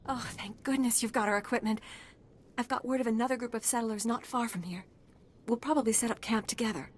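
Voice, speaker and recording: A woman playing a weary but hopeful settler in a video game, soft and sincere. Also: fairly high pitch, brisk, slight background noise, natural voice. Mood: relieved, gentle. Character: weary, gentle female settler, hopeful after loss.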